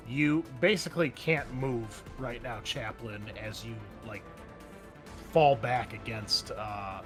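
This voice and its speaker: A man acts as game master for a tabletop session, with a mid-range voice over soft background music. He explains the action casually, slipping in wry asides and the odd swear word. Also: mid-range voice. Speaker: game master and host of an actual-play show.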